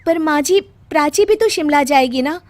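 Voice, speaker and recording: A woman's voice: high-pitched, expressive, normal speed, over music, pitched above the performer's own voice. A woman voicing a young daughter-in-law in a cartoon story, pleading and earnest. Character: young wife, frustrated but caring daughter-in-law.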